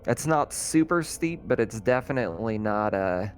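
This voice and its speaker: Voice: mid-range voice, animated, normal speed. Explanatory game master, music behind. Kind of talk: running a tabletop roleplaying game.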